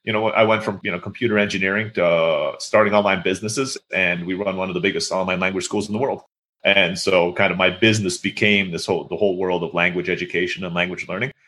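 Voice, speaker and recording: A man's voice: low voice, steady tone, fast talker, pushed and bright. A man in his thirties who grew up in Turkey, chatting as an interview guest and telling stories with lots of fillers. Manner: chatty, storytelling with many fillers. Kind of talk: casual interview conversation.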